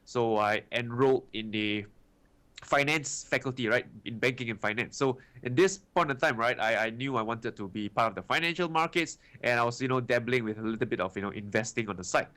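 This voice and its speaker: A man's voice: medium pitch, a little fast, pushed and bright. A man in his twenties from Singapore with a Singaporean English accent, answering interview questions about his start in trading in a chatty way, often tagging "right" and "you know". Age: 20s. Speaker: guest trader.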